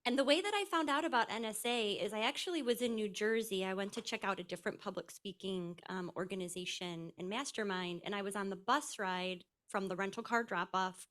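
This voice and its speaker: A woman's voice: medium pitch, brisk, few pauses, a bit bright. A woman casually introducing herself to a group as a new member, in a chatty, rambling way.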